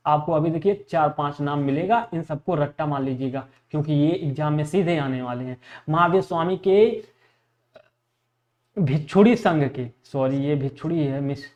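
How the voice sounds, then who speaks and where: Male exam teacher, high-pitched, repetitive question-and-answer drilling in a lecture style. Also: moderate pace, a little mellow, muffled recording. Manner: repetitive, asks questions and answers them himself. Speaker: history teacher for exam preparation. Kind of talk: classroom lecture drilling facts for exams.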